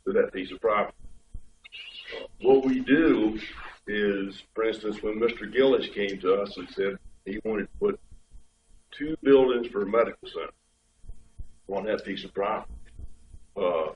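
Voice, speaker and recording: A man with a head cold, a state highway official explaining things step by step to a public board meeting. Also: slight background noise. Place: community board meeting room. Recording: dull, echoey room pickup from far off.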